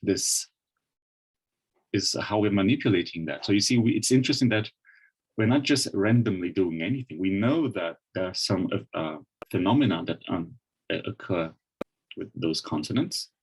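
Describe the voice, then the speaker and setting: A Brazilian man speaks with a Brazilian Portuguese accent in a low, husky voice. He gives an online lecture in a thoughtful way, with many restarts and fillers, into a computer microphone. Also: moderate pace, low-quality recording. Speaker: webinar presenter.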